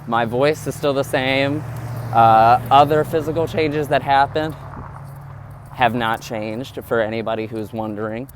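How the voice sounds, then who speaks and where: A man's voice: medium pitch, steady tone, measured pace, a little hiss or hum. A man talking candidly and earnestly to his viewers in a casual vlog monologue. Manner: earnest, open, addresses viewers directly. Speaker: vlogger.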